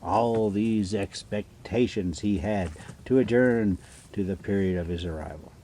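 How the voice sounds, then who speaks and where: A man reading a story aloud casually and haltingly, stumbling over foreign names. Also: on the low side, warm tone. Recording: noticeable background noise.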